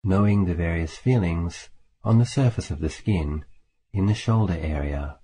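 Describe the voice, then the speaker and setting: Low, mellow man guiding meditation. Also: breathy edge. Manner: calm, measured, with long pauses between phrases. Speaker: Buddhist monk, meditation teacher. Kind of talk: guided meditation. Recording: faint background hiss.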